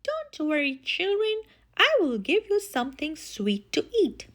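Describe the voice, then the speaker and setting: Woman putting on a high-voiced, sly old storybook witch. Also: very animated, measured pace, fairly bright tone, exaggerated voice. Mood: sly, menacing. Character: sly, menacing old female witch luring children.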